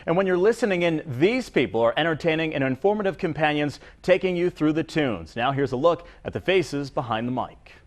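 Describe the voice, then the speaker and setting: A man reporting the news on television with a clear, scripted broadcast delivery. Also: on the high side, expressive, a little fast.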